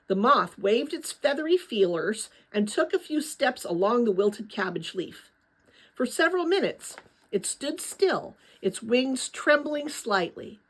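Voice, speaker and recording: A woman narrates a children's novel in an animated voice with a wide, lively pitch range. Her tone is husky rather than clean. She tells the story dramatically, with deliberate pauses. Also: low-quality recording. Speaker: audiobook-style reader. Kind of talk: expressive children's novel read-aloud.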